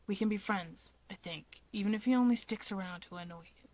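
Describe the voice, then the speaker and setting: A woman voicing an awkward, guarded young man in a fan story, speaking quietly and hesitantly. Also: medium pitch, fairly quick, a little hiss or hum, natural voice. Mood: quiet, tentative.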